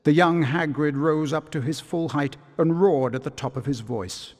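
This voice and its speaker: A man narrating a video game story like a storybook, in a dramatic, deliberate manner. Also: a little high, darkish tone, long reverb tail.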